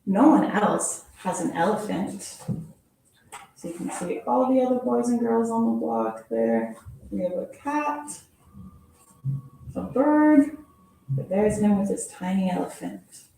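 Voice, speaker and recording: A woman teacher reading a children's picture book aloud in a playful, expressive storytelling manner, adding little sound effects. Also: medium pitch, slow pace, vocal fry, long pauses, slight background noise. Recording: very echoey room from far off.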